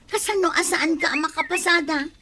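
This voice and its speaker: A woman's voice: very high-pitched, brisk, bright, forceful tone, a little hiss or hum. A woman playing an exasperated, bossy wife dodging debts, argumentative, in Ilocano-accented radio comedy drama.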